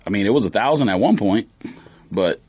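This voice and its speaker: Lively man chatting, close dry sound. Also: lowish voice, brisk, dry recording. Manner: slangy, rambling. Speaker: sneaker podcast co-host. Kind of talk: casual podcast banter.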